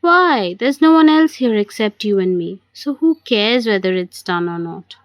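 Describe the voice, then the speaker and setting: A woman's voice: mid-range voice, expressive, moderate pace, no echo, natural voice. A woman reading the part of a spirited, teasing young fiancée in an amateur audio play. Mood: brisk.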